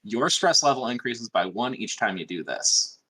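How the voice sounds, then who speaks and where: A man's voice: medium pitch, expressive, normal speed, a bit bright, slightly rough, low-quality recording. A man playing a tabletop roleplaying game, chatting casually with the group.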